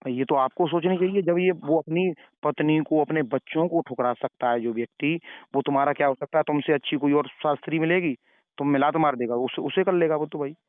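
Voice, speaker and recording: Male preacher, fairly high voice, admonishing like an elder brother over a narrow, dry phone connection. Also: brisk, no echo. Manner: elder-brotherly, moralizing, repeats points for emphasis. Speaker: religious preacher giving advice. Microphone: phone call. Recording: narrow tinny phone call recording.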